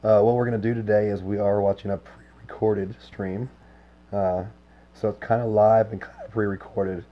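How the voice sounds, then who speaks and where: A man with a hoarse, worn voice chatting casually with his livestream viewers, easygoing and full of filler words. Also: lowish voice, little pitch movement, moderate pace, lo-fi sound. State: hoarse, lost voice. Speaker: game streamer.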